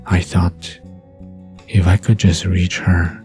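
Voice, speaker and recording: A man playing a wistful, dreamy man recalling the past in a soft, murmuring bedtime drama monologue. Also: sits low, little pitch movement, slow, faint music behind. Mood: dreamy, haunted.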